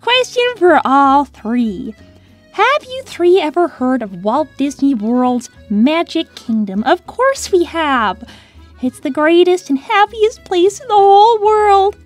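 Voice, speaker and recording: A woman's voice: very high-pitched, big pitch swings, slow, faint music behind, full character voice. A woman voicing a young female cartoon hedgehog, peppy and touchy, with a sarcastic, girlish manner. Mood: excited. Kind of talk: cartoon character answering fan questions.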